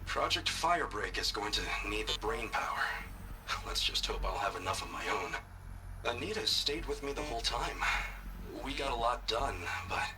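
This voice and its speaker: A weary, lovesick engineer played by a man rambles into a private log in a video game. He is confiding and wry. The voice sits back from the microphone beneath loud music. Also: mid-range voice, expressive, moderate pace, far from the mic, lightly acted, low-quality recording. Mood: wistful, smitten. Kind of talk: audio diary in a video game.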